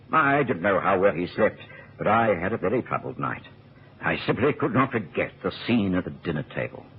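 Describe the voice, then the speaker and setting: An actor playing an earnest gentleman physician, recounting a case with dramatic storytelling in a radio drama. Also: fairly low pitch, a little hiss or hum. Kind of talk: radio drama narration and acting. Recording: tinny old radio recording.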